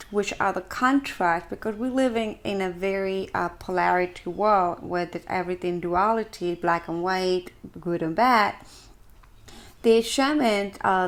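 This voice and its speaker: A woman from Ecuador with an Ecuadorian Spanish accent, speaking earnestly and deliberately to viewers as a practitioner, with emphatic pauses. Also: mid-range voice, a bit bright. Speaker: shamanic practitioner and video host. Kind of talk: earnest explanatory talk to camera.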